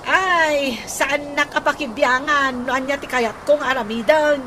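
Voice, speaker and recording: Gossipy, mocking village housewife played by a woman, Ilocano accent, very high swooping delivery, radio comedy, noisy ambience behind. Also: a little slow. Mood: defiant. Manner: exclamatory, scoffing. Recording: noisy track with outdoor ambience.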